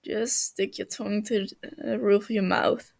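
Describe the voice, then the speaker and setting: A woman voicing a stern, big-brotherly male villain with a dry, calm manner in a story reading. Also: mid-range voice, normal speed, vocal fry, lightly acted. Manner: dry, calm, hesitant when sincere.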